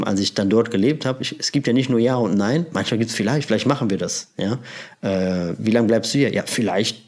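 A young man, a native German speaker, hosting a relaxed podcast conversation and telling stories with lively imitations. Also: lowish voice. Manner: animated storytelling, imitating phrases. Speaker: podcast host. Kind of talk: casual conversation about language learning.

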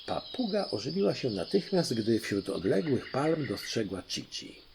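A man narrating a children's audiobook in an expressive storytelling style. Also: medium pitch, normal speed, creaky, background noise.

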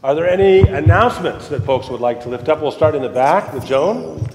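A man leading church announcements and giving the blessing in a relaxed, friendly way. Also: on the high side, brisk, creaky, reverberant, big-room sound, noisy background. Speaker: pastor. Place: church sanctuary.

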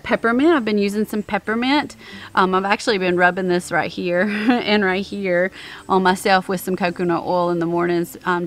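A woman in her thirties chats straight into a camera held at arm's length, outdoors on a grassy lawn. Her pitch sits in a middle range, and she fills her talk with ums. Faint music plays behind her. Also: fairly bright tone. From American. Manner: chatty, many ums, upbeat about products. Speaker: vlogger. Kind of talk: casual product show-and-tell. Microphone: handheld camera at arm's length.

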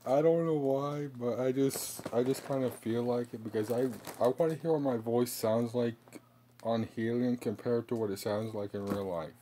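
Teen male gaming vlogger, unhurried casual delivery, slight background noise. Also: medium pitch, mellow. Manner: casual, laughs often, self-mocking about his voice. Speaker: youtuber.

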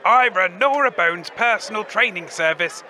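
A man's voice: high-pitched, lively intonation, normal speed, sharp tone, heavily acted. A man voicing a brazen female wasteland trainer, selling services in a matter-of-fact way. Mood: businesslike.

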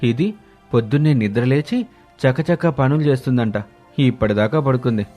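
A man playing a surprised, mildly teasing young village-born husband and son in an animated story. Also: mid-range voice, normal speed, lightly acted. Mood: grumbling. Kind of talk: animated moral-story voice acting. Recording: music bed under the voice.

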